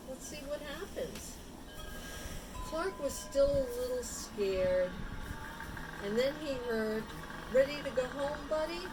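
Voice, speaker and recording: A woman reading a picture book aloud to young children, pausing for simple asides and questions about the pictures. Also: a little high, low-quality recording. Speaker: storybook reader.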